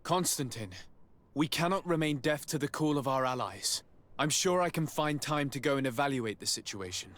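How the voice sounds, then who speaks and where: An actor playing an earnest, dutiful young noble envoy in a game, speaking sincerely with formal restraint. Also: male voice, mid-range voice, lively intonation, moderate pace, fairly bright tone, natural voice. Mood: resolute. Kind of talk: game cutscene acting.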